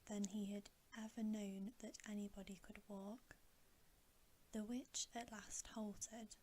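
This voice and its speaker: A woman narrating a bedtime story as ASMR in a breathy whisper. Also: medium pitch, dark tone. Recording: faint background noise.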